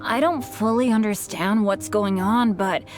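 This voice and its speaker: A woman's voice: medium pitch, a little slow, soft background music, close to an everyday voice. A woman voicing an earnest, good-natured teenage boy adventurer in a video game. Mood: confused, apologetic. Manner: eager, earnest. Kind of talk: animated game voice acting.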